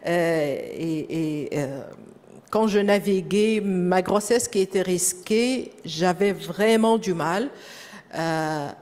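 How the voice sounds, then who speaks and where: A woman with a French-speaking accent giving a conference presentation, often pausing with fillers and repeating words as she searches for the next phrase. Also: lowish voice, a little mellow. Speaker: presenter at a professional development session. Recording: echoey hall.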